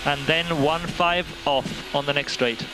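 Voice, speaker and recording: A man's voice: on the high side, a little slow. A man working as a race engineer, giving clipped, repeated instructions over team radio. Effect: team radio transmission. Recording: noisy radio link, car noise. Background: racing car and vehicle noise.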